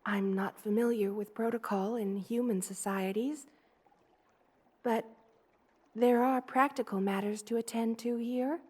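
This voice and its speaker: A woman voicing a gentle, doting spider queen in an intimate ASMR roleplay, soothing and tender in manner. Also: on the high side, fairly even intonation, breathy edge. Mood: hesitant, caring. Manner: soothing, tender, coaxing, with slow careful delivery.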